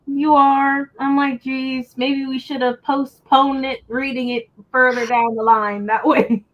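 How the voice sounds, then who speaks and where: A woman in her thirties co-hosting a casual book chat, relaxed and teasing. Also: sits high, unhurried. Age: 30s. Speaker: co-host of a book livestream. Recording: dull lo-fi stream audio.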